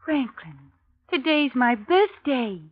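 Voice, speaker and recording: An actress playing a spirited, playful young English heiress in a 1950s radio drama. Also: female voice, high-pitched, big pitch swings, slow pace, breathy edge, full character voice. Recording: tinny old radio recording.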